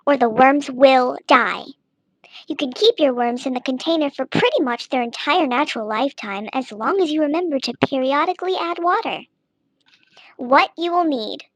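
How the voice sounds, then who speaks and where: A woman's voice: sits high, no echo, rough recording. A woman casually reading a how-to guide aloud in a mock-instructional manner. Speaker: narrator reading an online text aloud.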